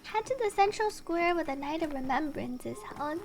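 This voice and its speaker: A woman's voice: sits high, animated, normal speed. A woman livestreaming a video game, chatting casually with viewers and reading on-screen text aloud over game music.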